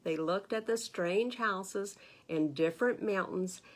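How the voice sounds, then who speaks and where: A woman reads a picture book aloud to young children as a storytime librarian, expressively, with gentle conversational asides and questions. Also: mid-range voice, a little slow.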